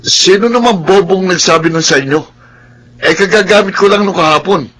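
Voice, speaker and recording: An actor playing a scheming, hot-tempered rich son in a radio drama, bossy and quick to get defensive. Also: male voice, high-pitched, fairly bright tone. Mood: angry, threatening. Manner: bossy, defensive, stammers when cornered.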